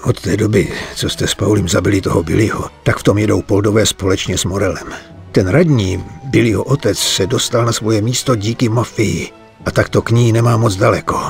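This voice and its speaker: An older man playing a weary, remorseful mob bookkeeper in a dubbed game, earnest and halting, pleading for his family. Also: fairly low pitch, vocal fry. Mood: grave explanation. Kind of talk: dubbed video game cutscene acting.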